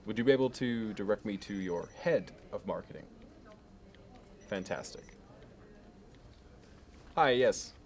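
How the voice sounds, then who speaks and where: Low-voiced smug Wall Street banker played by a man, slick and fussy ASMR roleplay, audible background noise. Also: darkish tone, natural voice. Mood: businesslike politeness. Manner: smooth sales talk, measured and fussy. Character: smug, fastidious investment banker, slick and contemptuous.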